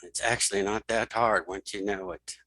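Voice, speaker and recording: Male tutorial host, middle-aged, crisp dry mic, bright delivery, informal step-by-step narration that thinks out loud. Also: lowish voice, fairly even intonation, normal speed, no room sound, poor audio. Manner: informal, thinking aloud, repeats key steps. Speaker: tutorial host and web developer. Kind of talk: screen reader software tutorial, talking through steps.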